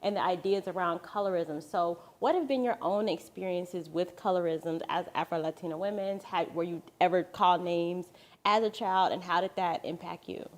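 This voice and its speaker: A woman's voice: on the low side, moderate pace. A woman hosting a panel, posing questions to her guests and affirming their answers. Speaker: host. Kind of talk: interview hosting.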